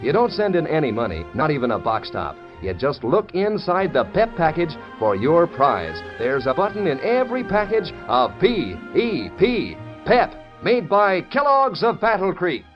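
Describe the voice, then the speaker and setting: Peppy, animated 1940s radio pitchman. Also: normal speed, few pauses, music in the background. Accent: American. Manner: chummy, peppy salesmanship. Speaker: radio announcer. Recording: dull 1940s radio recording.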